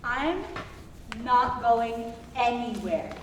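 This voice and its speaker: A woman playing a resolute, defiant jailed wife in a staged reading, firm and measured. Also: on the high side, expressive. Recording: distant mic, echoey room, faint noise.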